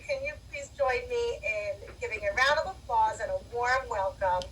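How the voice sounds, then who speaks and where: A woman's voice: fairly high pitch, wildly swooping pitch, moderate pace, a bit bright, a little hiss or hum. A woman teacher hosting a class event, speaking to young children in simple, encouraging, explanatory terms. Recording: distant mic, faint background music.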